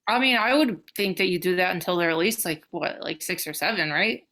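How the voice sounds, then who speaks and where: A woman's voice: lowish voice, lively intonation, brisk, pushed and bright. A woman co-hosting a podcast, chatting loosely with lots of swearing and laughter. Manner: loose, profane and giggly. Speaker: podcast co-host. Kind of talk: casual banter.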